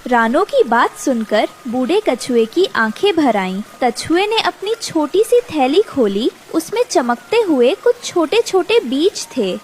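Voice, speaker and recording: A woman's voice: high-pitched, sing-song, measured pace, few pauses, darkish tone, slight background noise. A woman narrating a scripted children's story.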